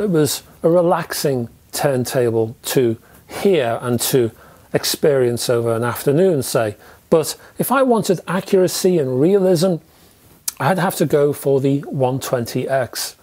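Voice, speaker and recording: A man in his fifties with a British accent, presenting a scripted product review in a measured, descriptive way with emphatic phrasing. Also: mid-range voice, darkish tone. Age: 50s. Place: indoor room with record shelves.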